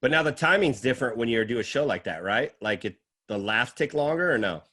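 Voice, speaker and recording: A man hosts a laid-back chat with a fellow comic. He asks his questions lightly, tosses in jokes and short reactions, and tells his own stories. His pitch is ordinary for a man. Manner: relaxed, joking, quick reactions. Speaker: podcast host. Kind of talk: casual interview chat.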